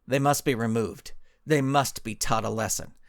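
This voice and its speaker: A man's voice: medium pitch, normal speed, creaky, dry recording, pitched below the performer's own voice, close to an everyday voice. Superheroine for audio storybook, played by a male narrator: fierce, scornful, severe, snapping, dry sound. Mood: menacing. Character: fierce, scornful female superhero, hot-tempered.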